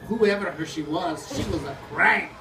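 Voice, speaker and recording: A woman's voice: lowish voice, normal speed, creaky. A woman playing a brusque, grumbling pizza maker in broken English for an improvised comic puppet show. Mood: busy muttering. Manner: broken English with dropped words. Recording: roomy, distant mic with background noise.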